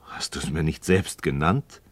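An actor playing an inquisitive, skeptical but courteous friend in a radio drama, speaking in a deliberate, questioning way. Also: male voice, a bass voice, slightly rough, dry recording, full character voice. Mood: curious. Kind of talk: scripted philosophical dialogue in German radio drama.

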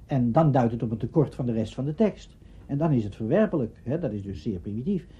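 Male interviewee, mid pitch, very raspy, halting spontaneous talk, dull archive sound. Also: lively intonation, dark tone. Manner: halting, searching for words, repeating himself.

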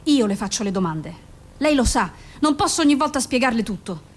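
An actress in a radio drama playing a composed woman therapist who questions persistently in a measured, formal, controlled way. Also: a little high, lively intonation. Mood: firm, controlled. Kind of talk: Italian radio drama acting.

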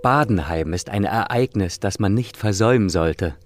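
An actor playing a divorced man who coaxes and reassures in a soft-spoken, earnest, persuasive way in a German radio play. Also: on the low side, animated, moderate pace, natural voice. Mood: reassuring. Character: coaxing divorced man urging a woman to stay.

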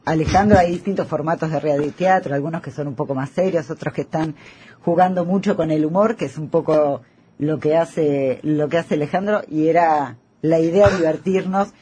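A man's voice: a little high, moderate pace, darkish tone, slight background noise. A man with a Rioplatense Argentine accent hosting a casual radio interview, chatty, repeating phrases and tagging on questions. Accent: Rioplatense Argentine Spanish. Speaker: radio host. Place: radio studio.

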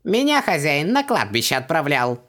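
Man putting on a high, cheeky little djinn. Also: wildly swooping pitch, moderate pace, a bit bright. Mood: indignant, sulky. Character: tiny male djinn servant, cheeky and sulky. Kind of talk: audiobook character voice.

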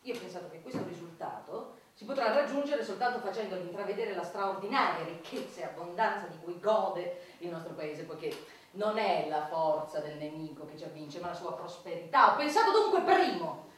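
Woman acting an insistent lady minister, declamatory, emphatic, radio satire, lively intonation, far from the microphone. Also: on the low side, fairly quick, close to an everyday voice. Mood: earnest, proposing. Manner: declamatory, emphatic, enumerating points. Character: zealous, insistent female government minister pitching absurd schemes. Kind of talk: satirical stage radio drama. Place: large echoey room, recorded from afar. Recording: distant, very echoey hall, faint noise.

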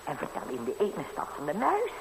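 An actress playing a doting, scolding old housekeeper, fussing, sighing and coaxing in a 1960s radio play. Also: female voice, deep voice, wildly swooping pitch, brisk, exaggerated voice. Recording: noisy vintage 1960s radio recording.

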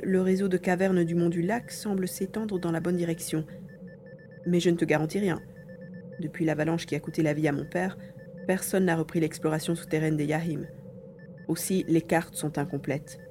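A woman's voice: fairly low pitch, close to an everyday voice. A woman playing a wary, hesitant young navigator in a French audio drama. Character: Karl, wary young navigator grieving a dead father.

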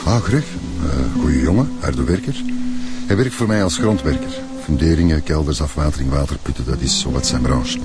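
An actor playing a building contractor boss with a Flemish accent, affable, colloquial and slightly evasive, in a radio drama. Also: male voice, extremely low pitch, expressive, normal speed, loud music behind the voice. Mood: affable, guarded. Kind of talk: naturalistic radio drama acting.

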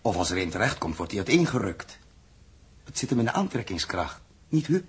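An actor playing a brisk, genial spaceship commander in a 1960s Dutch radio play, with a husky voice and a Netherlands accent, switching between crisp orders and easy banter. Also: fairly low pitch, very animated, heavily acted. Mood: calm, awed. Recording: 1960s radio drama recording.

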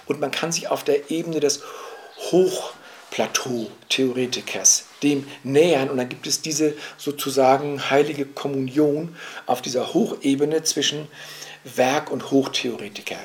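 A man arguing a point at length in a reflective, lecturing way, pausing and restarting often as he searches for words. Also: mid-range voice. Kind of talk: reflective spoken argument, recorded for a radio production.

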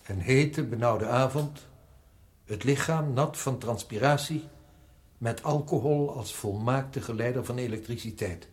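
A man acting as a prison director in a radio drama. He has a medium-pitched voice and speaks deliberately, with sober, formal authority. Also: a little mellow, lightly acted. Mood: formal, explanatory.

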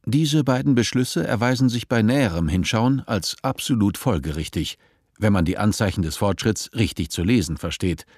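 Guy narrating an audio play, deep voice, measured and literary, no room echo. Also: animated, darkish tone, husky, clean studio sound. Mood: composed. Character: composed male storyteller of a dystopian tale. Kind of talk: scripted radio play narration.

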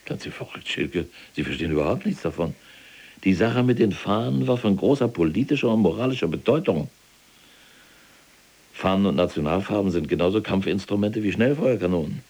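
An imprisoned SS general for an audio drama, a self-justifying fanatic of mature years. His voice sits very low and has a husky rasp. He speaks pompously, as if lecturing, and bristles at contradiction. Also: animated, a little fast, a little mellow, exaggerated voice. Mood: indignant. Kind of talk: German audio drama acting, monologue and argument.

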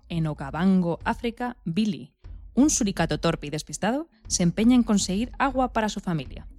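A woman's voice: fairly low pitch, darkish tone. A woman from reading scripted stories as a radio co-host. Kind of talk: scripted storytelling narration.

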